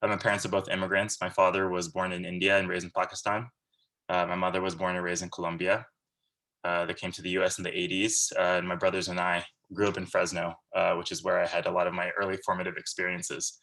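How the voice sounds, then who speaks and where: A man in his thirties speaks in a low, gravelly voice with a fairly flat, even delivery. He talks in an earnest, conversational and rambling way, giving a guest lecture over a video call. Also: fast, low-quality recording. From Central California, USA. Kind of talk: casual lecture.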